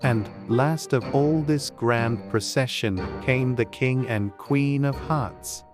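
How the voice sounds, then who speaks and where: A man's voice: mid-range voice, takes it slowly. A man reading scripted storybook narration as an audiobook narrator. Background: faint music.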